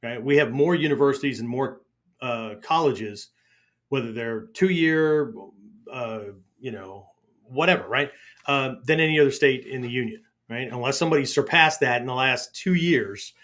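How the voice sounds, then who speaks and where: A man's voice: medium pitch, normal speed, fairly bright tone. Rambling middle-aged man, many "right?"s. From Pennsylvania, United States. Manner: keeps tagging "right?" and restarting phrases. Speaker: podcast host and martial arts teacher. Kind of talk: rambling casual monologue.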